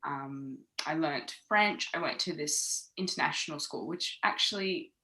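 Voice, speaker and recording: A woman's voice: low voice, a little slow, sharp tone, poor audio. A woman in her thirties from Australia, a podcast guest chatting casually with lots of fillers and restarts. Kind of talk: casual chat. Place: home in London.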